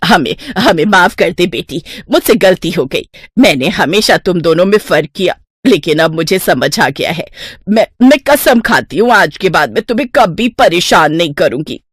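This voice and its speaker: A woman's voice: fairly low pitch, animated, moderate pace, husky, dry recording. A woman voicing a scheming middle-aged stepmother in an audio folk tale, with a theatrical manner. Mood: pleading.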